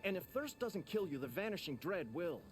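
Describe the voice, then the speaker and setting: Animated, high-voiced doom-warning merchant. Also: male voice, moderate pace, slight background noise. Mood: alarmed warning. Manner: blunt, dramatic warnings. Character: fretful male merchant, cagey and money-minded, warning of doom. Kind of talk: video game character acting. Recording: music behind the voice.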